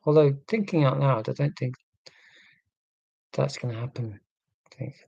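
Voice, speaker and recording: A middle-aged man with a neutral southern English accent, talking slowly and deliberately in a casual, reflective monologue. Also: medium pitch, gravelly, mellow, no room sound, dull audio. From Scotland, raised in England. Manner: slow, deliberate speech with pauses. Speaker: vlogger.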